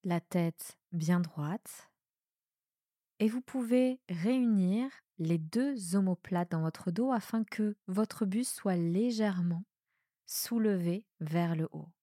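Slow, low-pitched woman guiding meditation. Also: no echo, clean studio sound. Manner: calm, soothing, measured phrasing with pauses. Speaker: yoga nidra guide. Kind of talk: guided meditation, relaxation instruction.

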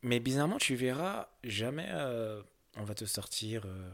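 A man's voice: mid-range voice, sing-song, moderate pace, gravelly, fairly bright tone. A young man from France answering interview questions candidly, hesitant, with many fillers and restarts.

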